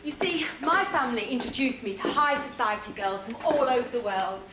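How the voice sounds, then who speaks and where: Woman playing an earnest high-voiced young prince. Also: animated, a little fast, natural voice. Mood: earnest, wistful. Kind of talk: amateur pantomime stage acting. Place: theatre or hall stage, live performance. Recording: echoey stage, loud background noise.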